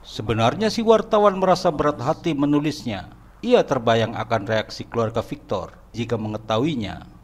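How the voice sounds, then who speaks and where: A man's voice: mid-range voice, lively intonation, normal speed. A man narrating a horror cartoon in a scripted, dramatic storytelling manner. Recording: narration over background music.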